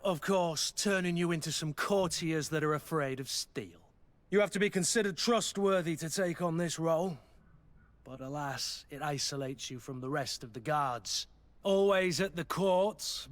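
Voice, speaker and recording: Game role: battle-worn mercenary played by a man, loyal and brooding, voice pitched a bit high. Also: moderate pace, natural voice. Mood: reflective. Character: gruff, battle-worn male mercenary master of arms, guilt-ridden, loyal. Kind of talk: video game dialogue acting.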